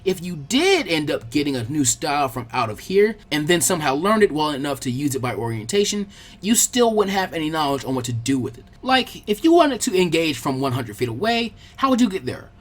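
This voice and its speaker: A man voicing a friendly, bookish teenage girl who is chatty, practical and gently bossy, in a story reading. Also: fairly high pitch, very animated, fairly quick. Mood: instructive.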